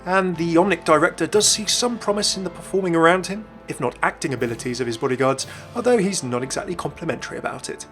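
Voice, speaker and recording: A young man narrates a gaming video as its host. He talks quickly in a slightly high voice. Light music runs behind his scripted delivery. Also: fairly bright tone.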